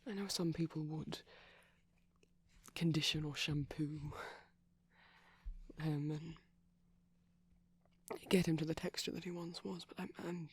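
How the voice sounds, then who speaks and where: Give a hushed, creaky male voice for a shy, gentle vampire boyfriend played by a man. He rambles tenderly and hesitantly in ASMR style, often dropping to a whisper. The tone is breathy and a little husky. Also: on the high side, steady tone, takes it slowly, pauses often. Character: shy, gentle pastel vampire boyfriend showing off plush toys. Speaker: ASMR roleplay performer.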